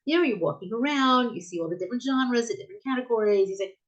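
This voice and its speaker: A woman's voice: on the high side, expressive, fast, highs cut off. A middle-aged American woman, a bookstore owner, answering interview questions in a chatty way with frequent restarts and fillers. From United States.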